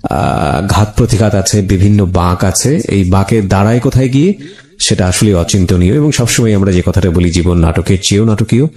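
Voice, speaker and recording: A Bangladeshi man hosts a story interview in a low, dark, relaxed voice. He is attentive and conversational, often echoing phrases back. The recording has a noticeable roomy echo. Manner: attentive, echoes the guest with short acknowledgements. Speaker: radio show host interviewing a guest.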